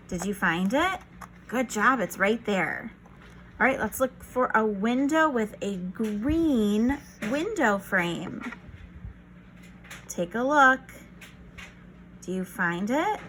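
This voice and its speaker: Female children's read-aloud teacher: unhurried, lively pitch swings, encouraging and instructional, slight noise behind. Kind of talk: reading a picture book aloud to young children.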